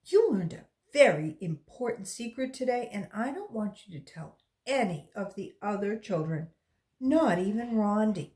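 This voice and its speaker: A woman's voice: mid-range voice, big pitch swings, normal speed, mellow, close to an everyday voice. A woman voicing an earnest, slightly muddled female schoolteacher in a children's storybook, speaking instructively.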